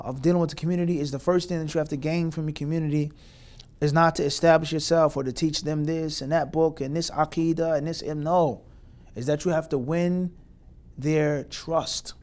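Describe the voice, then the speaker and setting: Emphatic American preacher man, slightly high. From United States. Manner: emphatic, builds rhetorical lists with Arabic religious terms. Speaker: mufti giving advice to graduates. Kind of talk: spoken advice lecture.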